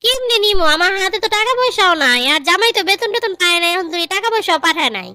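A woman's voice: very high voice, animated, fast talker, sharp tone, no echo, full character voice. A woman voicing a pampered young married daughter in a comedy cartoon, girlish and coaxing, with a Bangladeshi accent. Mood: worried, helpless. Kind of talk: comedy cartoon dialogue in colloquial dialect.

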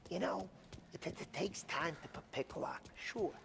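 A man's voice: a little high, normal speed, creaky, background noise. An actor playing a nervous young male mechanic in a video game, stuttering on first sounds.

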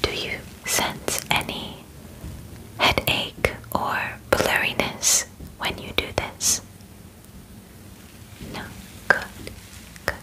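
Young woman, ASMR roleplay, whispered, very slow, formal clinical phrasing, close mic. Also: sharp tone, low-quality recording. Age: 20s. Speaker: ASMR roleplayer acting as alien examiner. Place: small bedroom, indoors.

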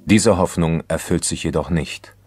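An actor playing a grave crime chronicler, narrating a radio drama in a sober, measured way. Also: male voice, low-pitched, music in the background, close to an everyday voice.

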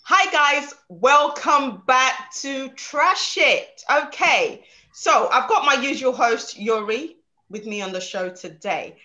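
A woman's voice: high voice, animated, slow, few pauses, sharp tone. A young adult woman hosting an interview show over a video call, emphatic and forever tagging her lines with "okay?". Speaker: show host. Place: home room, indoors.

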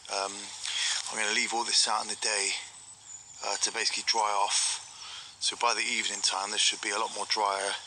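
A man's voice: fairly low pitch, noisy background. Rambling British man filming in woods. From Britain. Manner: relaxed, rambling, many "um"s. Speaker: vlogger. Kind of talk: casual outdoor vlog narration. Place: outdoors in a pine forest. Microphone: handheld action camera.

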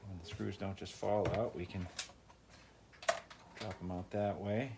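Man, fairly low voice, casual repair walkthrough, folksy thinking aloud, faint noise and clicks behind. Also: steady tone, a little slow. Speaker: host of a tinkering channel. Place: indoors at a work table. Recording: clear background noise, clicks and handling sounds.

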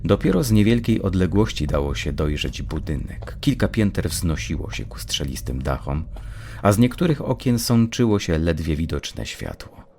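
A man narrates dark fiction in a very deep voice with a gravelly creak. His pitch moves expressively through the scripted storytelling. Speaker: audiobook narrator.

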